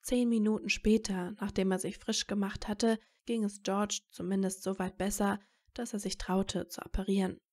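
A woman's voice: mid-range voice, level tone, moderate pace, darkish tone. A woman reading scripted narration for a fan fiction audio story.

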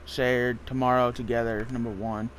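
A man's voice: medium pitch, little pitch movement, moderate pace. Casual male gamer, faint background noise. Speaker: gaming video host. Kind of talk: casual playthrough commentary, reading on-screen text aloud.

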